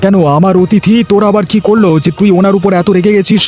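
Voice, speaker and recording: A man voicing an excitable, good-natured village man in a cartoon, loud and effusive. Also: sits high, quick pace, soft background music, natural voice. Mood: puzzled, annoyed. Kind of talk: Bengali cartoon voice acting.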